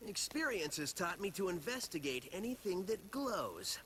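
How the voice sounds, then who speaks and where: A man's voice: high voice, very animated, moderate pace, heavy background noise. A man voicing a cocky, wisecracking young hedgehog hero in a video game cutscene. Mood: musing, cocky.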